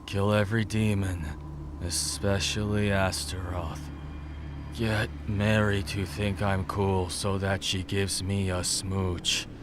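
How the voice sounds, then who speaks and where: A man's voice: deep voice, level tone, slow, noisy background. A man playing a brooding, cursed avenger in an audio drama, speaking in a blunt, terse way. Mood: compelled confession.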